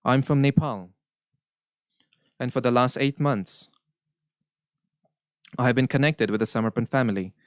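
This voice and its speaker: Smooth-voiced man interpreting. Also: mid-range voice, no echo. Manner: measured, earnest, occasional self-corrections. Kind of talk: live interpretation of a spiritual Q&A.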